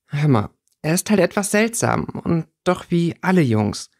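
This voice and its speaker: Man as a higher-voiced caring schoolgirl. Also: big pitch swings, unhurried, full character voice. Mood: consoling. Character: caring, hesitant young schoolgirl comforting friend.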